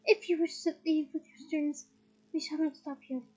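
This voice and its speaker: A woman's voice: sits high, level tone, moderate pace, darkish tone, muffled recording, close to an everyday voice. A woman voicing a stern, commanding elderly witch in a storybook reading. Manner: commanding, clipped.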